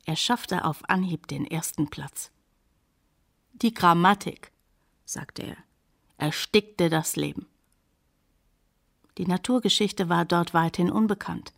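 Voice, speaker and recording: Narrator role: literary woman storyteller, lowish pitch, measured scripted delivery for radio drama. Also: gravelly, heavily acted. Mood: measured, reflective. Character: female family narrator recounting a naturalist's youth.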